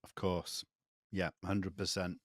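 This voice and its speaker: A man's voice: deep voice, flat delivery, takes it slowly, fairly bright tone, no room sound, studio quality. A man hosting an interview in a conversational, agreeable way, affirming what the guest says. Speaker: interview host.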